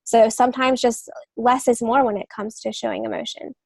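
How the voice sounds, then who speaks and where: A woman's voice: a little high, steady tone, brisk, creaky, no room sound. A woman co-hosting a podcast, giving writing advice in an informal, explanatory, conversational way. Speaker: podcast co-host, novelist.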